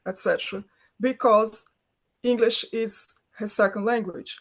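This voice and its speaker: A woman's voice: mid-range voice, fairly even intonation, measured pace. A middle-aged woman from Brazil, a native Portuguese speaker, talking as a filmmaker guest in an interview, often restarting her phrases as she searches for words. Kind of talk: conversational interview.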